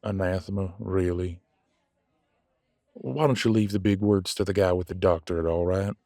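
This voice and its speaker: A man playing a proud, blunt werewolf in an audio drama, speaking haltingly as he grudgingly makes peace with a rival. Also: low-pitched, steady tone, mellow, dry recording, clean studio sound. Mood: dry teasing. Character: gruff proud male werewolf, reluctantly making peace with rival. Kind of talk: scripted audio roleplay drama.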